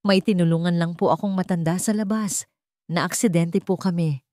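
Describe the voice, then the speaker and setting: A woman's voice: on the low side, fairly even intonation, normal speed, darkish tone, no echo, studio quality, close to an everyday voice. A woman voicing a humble, desperate young male job applicant in a story, meek and trembling, with a Filipino accent. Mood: nervous, earnest.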